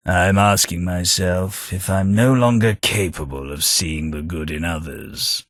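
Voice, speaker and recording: A man's voice: a bit bright, dry recording, heavily acted. An actor voicing a refined, sardonic old male ghoul companion in a game, dry and cutting in manner. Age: older. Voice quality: low, dry, measured and cutting. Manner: refined, sardonic, easily offended.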